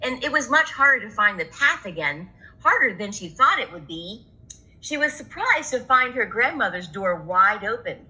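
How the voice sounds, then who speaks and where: A woman's voice: mid-range voice, very animated, moderate pace, sharp tone, rough recording. A woman reading a children's story aloud as a storyteller.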